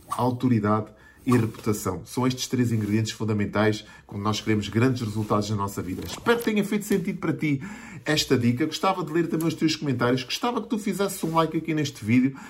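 An earnest man in his forties with a European Portuguese accent talks straight to his viewers as a marketing mentor. His voice sits at an ordinary middle pitch, and he stacks his points in steady repeated lists. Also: quick pace, slight background noise. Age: 40s. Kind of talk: motivational talk to camera.

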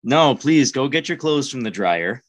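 A man hosting a podcast in casual comic banter with a deadpan manner. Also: mid-range voice, unhurried, dry recording. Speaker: podcast host.

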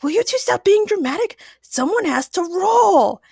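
A woman playing an excitable, impatient young guy in a story, blunt and full of exclamations. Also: mid-range voice, normal speed, gravelly, dry recording, clean studio sound, heavily acted.

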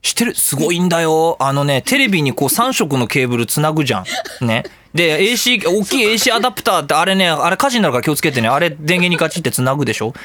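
Fast, animated male radio host. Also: a little high, no echo. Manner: animated, jokey rambling with exclaimed asides. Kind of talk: casual comedic solo radio talk.

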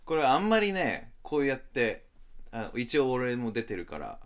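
A man's voice: mid-range voice, big pitch swings, measured pace. A man co-hosting a podcast, chatting casually with his friends. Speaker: podcast co-host. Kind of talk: casual chat among friends.

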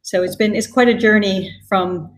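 A woman's voice: mid-range voice, steady tone, normal speed. A woman from West Coast Canada whose accent is mixed with British after years in the UK. She gives thoughtful, conversational answers as an interview guest. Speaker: guest, design executive.

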